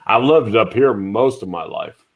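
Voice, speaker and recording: A man in his forties from Alabama, chatting casually on a group video call and pausing often with fillers. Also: fairly low pitch, creaky, slightly rough. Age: 40s. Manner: relaxed, hesitant with many fillers.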